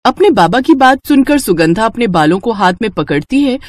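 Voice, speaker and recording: A woman's voice: fairly high pitch, expressive, moderate pace, a little mellow, no room sound, studio quality. A woman narrating a scripted moral tale as a storyteller for a cartoon.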